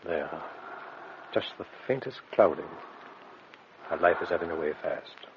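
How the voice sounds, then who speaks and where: An actor playing a stern, sceptical doctor in a gothic radio drama, with a clipped, grave, scornful manner. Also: male voice, sits low, expressive, measured pace. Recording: dull radio recording with faint noise.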